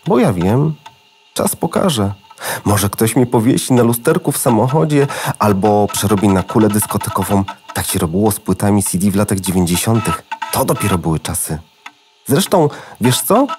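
A man's voice: fairly low pitch, very animated, moderate pace, a little mellow, faint music behind. A man voicing an old, forgotten floppy disk in a children's audio drama, courteous, old-fashioned and melodramatic. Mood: wistful, explanatory.

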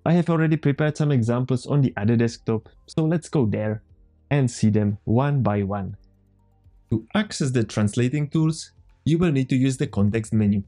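A man in his thirties with a Czech accent, calmly walking viewers through a computer tutorial step by step as the channel's host. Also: mid-range voice, moderate pace, soft background music. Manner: calm, methodical step-by-step explaining. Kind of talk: screen-recorded software tutorial. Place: home room, indoors.

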